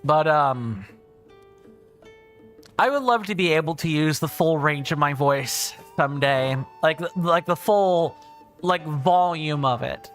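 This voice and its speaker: A man livestreaming a game, chatting casually and reading out viewer comments, with a big booming voice. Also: lively intonation, slow pace, fairly bright tone, soft background music. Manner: chatty, thinks aloud, reads viewer comments. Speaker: streamer. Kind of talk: casual gameplay livestream chat.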